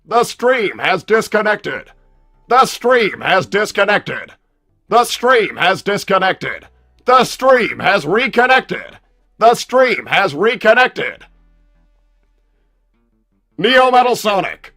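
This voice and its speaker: A man voicing a loud, belligerent combat robot with stilted, mechanical, declamatory delivery in a livestream roleplay. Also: sits high, big pitch swings, slow pace, pauses often, faint music behind, full character voice. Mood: flat, mechanical. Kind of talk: improvised character roleplay on a livestream.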